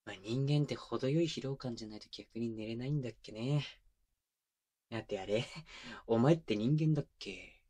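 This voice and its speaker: A man's voice: moderate pace, no echo, close to an everyday voice. Man acting a teasing, doting young boyfriend, intimate ASMR. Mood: teasing, tender. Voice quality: mid-low voice. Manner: casual, playful, slipping into baby talk and soft chuckles. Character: teasing, doting young boyfriend babying his exhausted, clingy girlfriend. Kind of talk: intimate ASMR roleplay drama, addressed to the listener. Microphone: close binaural microphone.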